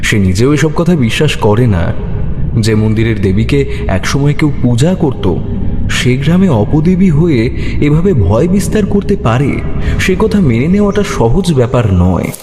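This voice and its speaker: A man's voice: mid-range voice, big pitch swings, measured pace, over music. A man narrating a scripted horror story as a storyteller. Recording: echoey, reverberant, with clear background noise.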